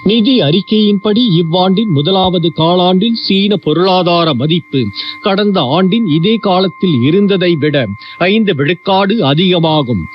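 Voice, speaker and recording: High-pitched male newsreader on muffled shortwave. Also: faint music behind. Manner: formal, measured. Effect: shortwave radio broadcast. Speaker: radio news reader. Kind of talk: scripted news reading. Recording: dull, hissy shortwave radio with hum.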